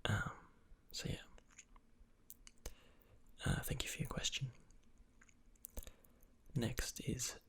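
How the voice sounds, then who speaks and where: A young man, an ASMR channel creator, answers viewer questions in a soft whisper, rambling with fillers. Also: extremely slow, pauses often.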